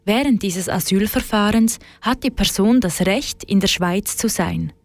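Reporter, woman, even scripted delivery, dry close mic. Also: mid-range voice, measured pace, dry recording. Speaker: radio reporter. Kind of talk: scripted news narration.

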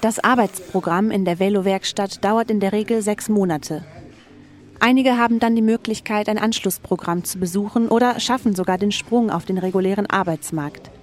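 A woman radio reporter reading a scripted news feature in a clear, even way. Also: mid-range voice, normal speed.

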